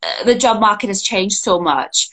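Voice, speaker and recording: A young adult woman, chatting casually as an employment coach, full of fillers and quick restarts. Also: medium pitch, animated, a bit bright, rough recording. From Scotland. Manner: chatty, frequent fillers and restarts, laughs easily. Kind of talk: casual podcast chat.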